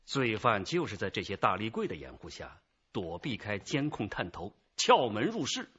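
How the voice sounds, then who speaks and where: A man's voice: fairly low pitch, wildly swooping pitch, normal speed, sharp tone, lo-fi sound, slight background noise, exaggerated voice. A man playing a commanding detective chief with a probing, authoritative manner in a radio crime drama. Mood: deducing. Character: commanding male police detective chief leading an investigation.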